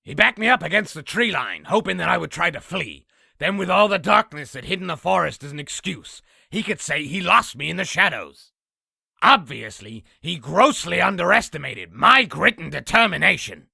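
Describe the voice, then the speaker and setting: High, gravelly, boastful demon. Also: male voice, expressive, moderate pace, sharp tone, dry recording, clean studio sound, exaggerated voice. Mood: boastful, grandiose. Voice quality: raspy, gravelly. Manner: theatrical, self-aggrandizing. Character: boastful male demon telling his own exaggerated battle story. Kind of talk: comic dub character narration.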